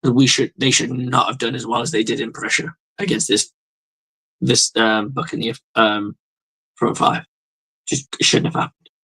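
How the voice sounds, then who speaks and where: A male host with a hoarse voice, giving a blunt, profane, rambling fan's reaction in a casual sports monologue. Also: mid-range voice, little pitch movement, a little slow, pushed and bright, poor audio.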